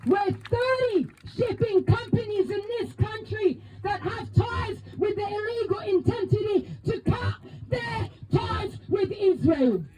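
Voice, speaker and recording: A woman from Palestine, a native Arabic speaker, giving a loud, emphatic, impassioned speech as co-chair at an outdoor protest rally. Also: very high voice, animated, slow, hardly any pauses, muffled recording. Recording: outdoor rally, crowd and faint noise.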